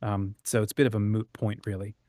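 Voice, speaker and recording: Guy host chatting casually, kinda rambly and thinking out loud, close dry sound. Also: on the low side, little pitch movement, fast, dry recording. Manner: rambling, thinking aloud with hedged half-sentences. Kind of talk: casual chat, interviewing a guest.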